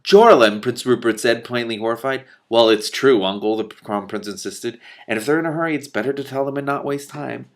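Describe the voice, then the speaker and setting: A man reading a fantasy novel aloud, raising his voice for the characters' lines and breaking off for quick, lively comments and laughs. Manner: animated, laughs and swears in asides, quick mutters between passages; rises in pitch and volume for character lines. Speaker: reader and commentator.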